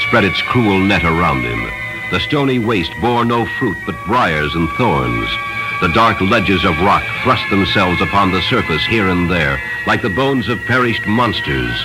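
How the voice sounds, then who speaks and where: A man's voice: sits low, poor audio. A man narrating a literary story from a script in a solemn, formal storytelling manner.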